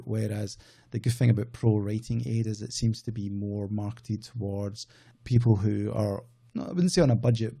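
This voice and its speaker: A man's voice: lowish voice, creaky, dark tone, breathy edge. A man with a Scottish accent, hosting his own channel and talking casually to camera, rambling and correcting himself as he goes. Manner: rambling, self-correcting, conversational. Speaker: outsourcing business channel host.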